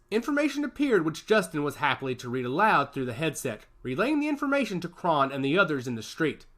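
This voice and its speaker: A man narrating a story with expressive, scripted delivery. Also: on the high side.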